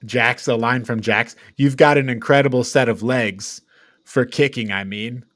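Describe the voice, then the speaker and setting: A man's voice: medium pitch, unhurried, a bit bright, no room sound, clean studio sound. A man co-hosting a casual film review chat in an informal, exasperated manner. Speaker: podcast co-host reviewing films.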